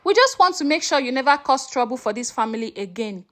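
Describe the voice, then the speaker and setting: Woman voicing a cold, menacing old patriarch, high-pitched. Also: animated, pushed and bright, no room sound, studio quality. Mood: cold menace. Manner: stern, deliberate. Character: cold, menacing elderly patriarch guarding family interests.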